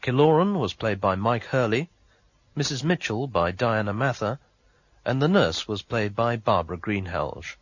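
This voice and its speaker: A man reading scripted titles and credits as a radio drama announcer, in a formal, measured manner. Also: on the low side, expressive, dry recording. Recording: dull, muffled old radio recording. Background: faint music.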